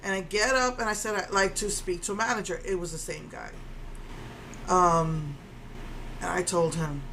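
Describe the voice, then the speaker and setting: A woman in her fifties telling a story in an animated, indignant way, with a strong voice. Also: lowish voice, normal speed, slight background noise, poor audio. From Puerto Rico. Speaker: vlogger. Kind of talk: casual storytelling rant.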